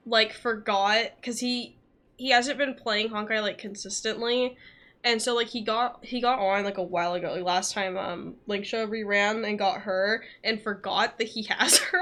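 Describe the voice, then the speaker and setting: A woman's voice: on the high side, pushed and bright. A young woman chatting casually and in a rambling way while she streams a video game, often giggly. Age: young adult.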